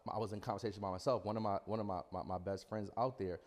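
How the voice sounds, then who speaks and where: A man's voice: fairly low pitch, fairly even intonation, rapid-fire, darkish tone. A man telling his story in a conversational interview, emphatic and repeating phrases as he goes. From New York (the Bronx). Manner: emphatic, repeats phrases, checks in with "you know what I'm saying". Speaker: podcast guest, entrepreneur. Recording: reverberant hall-like room echo.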